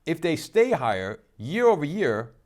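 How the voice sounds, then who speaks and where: A man's voice: fairly high pitch, very animated, measured pace, a little mellow. A man hosting a stock trading show, talking in an upbeat, emphatic, salesy way. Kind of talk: energetic market commentary.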